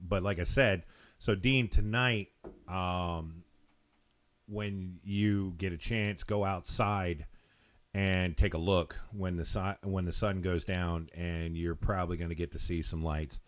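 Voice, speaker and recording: A fairly low-pitched American man hosts a live talk show. He speaks casually and informally, rambling along with halting stops as he comments. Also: steady tone. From Texas, United States. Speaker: live call-in show host.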